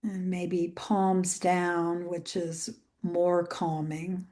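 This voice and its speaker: A woman leading a guided meditation in a gentle, soothing way, with soft phrase endings that trail into breath. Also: fairly low pitch, little pitch movement. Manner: gentle, soothing, unhurried with long pauses.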